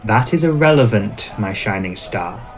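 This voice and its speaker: A man playing a suave, cautious male vampire who speaks in a measured, gently scolding way in an ASMR roleplay. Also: fairly low pitch, lively intonation, faint music behind. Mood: stern, restrained.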